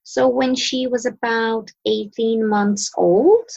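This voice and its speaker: A woman from Sweden, a coach and podcast guest, answering questions in a relaxed way, pausing and restarting as she searches for words. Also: fairly high pitch, gravelly, husky, highs cut off. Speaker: mindset and success coach, guest. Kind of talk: casual interview answers.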